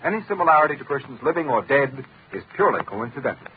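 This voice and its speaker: A male radio announcer reading a formal scripted disclaimer in a brisk manner, on a tinny old broadcast. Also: mid-range voice, lively intonation, slight background noise.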